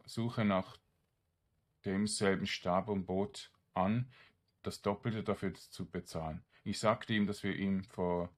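Deep-voiced man doing game commentary. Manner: informal, talking to himself and viewers. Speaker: gaming streamer, let's player. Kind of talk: casual game commentary with some read-aloud game text.

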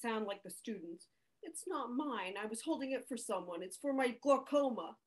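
A stern, middle-aged school principal, played by a woman in a comedy table read. Her fairly high voice is firm and formal. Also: animated, moderate pace. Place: indoor room, video call.

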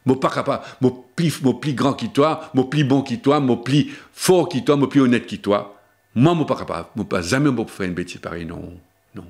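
An older man giving a Lenten sermon to camera from a small studio, slowing down to stress his points and shifting his pitch often. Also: darkish tone. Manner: shifts between spoken pitches, slowing for emphasis. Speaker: preacher. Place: small studio before a blue backdrop, seated at a table. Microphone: table microphone on a stand.

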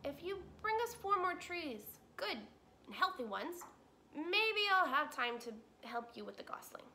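Mister Beaver, a woman doing a stubborn, gruff beaver. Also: sits high, big pitch swings, measured pace, sharp tone, pitched above the performer's own voice, full character voice. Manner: grumbling, blunt, folksy. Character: gruff stubborn male beaver, dam builder.

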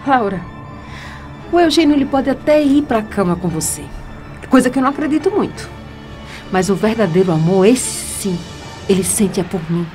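A composed, righteous young rival played by a woman in a Brazilian radio soap. Her medium-pitched voice is measured, pointed and defiant, with music faintly behind. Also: animated, dark tone, lightly acted. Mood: scornful.

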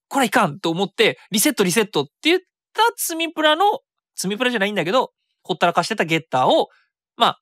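A man's voice: high voice, bright, forceful tone, no echo, studio quality. A man hosting a web radio show solo in a casual, chatty style, often interrupting himself and voicing his own thoughts. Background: background music.

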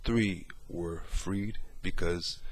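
Earnest low-voiced man. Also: very slow, breathy edge. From Morocco. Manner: earnest, hesitant, many fillers. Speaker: activist caller. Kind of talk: earnest monologue and phone call. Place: small bedroom indoors.

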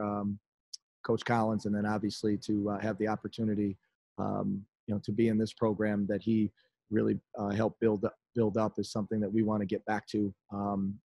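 Halting coach on a dry video call. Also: male voice, lowish voice, dark tone, dry recording, low-quality recording. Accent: Chicago-area American. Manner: halting, many restarts and fillers. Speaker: head basketball coach. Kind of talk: postgame press conference. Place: indoors in front of a team backdrop. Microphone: video call webcam.

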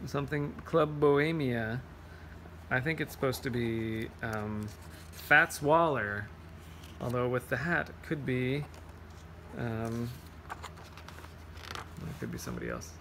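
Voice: mid-range voice, lively intonation, slow, pauses often, a bit bright, a little hiss or hum. A person reading a picture book aloud to children, playful, with singsong rhymes, scat sounds and casual explanations.

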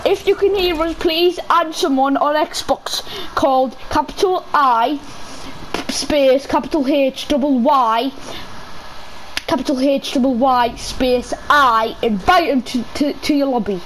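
Teen gamer, very high pitch, excitable and pleading, clear noise on the voice chat. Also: measured pace. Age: teenager. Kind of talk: excited chat while playing an online game. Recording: noisy game voice chat.

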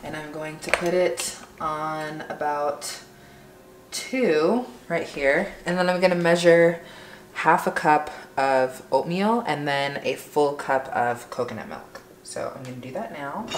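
A young woman with a notably low voice talks casually through a recipe as she works. She is informal and unscripted, like a home vlogger. The room is clearly echoey, with noticeable kitchen noise of pots and utensils behind her. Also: unhurried. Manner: informal, narrating each step while working. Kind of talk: casual cooking demonstration.

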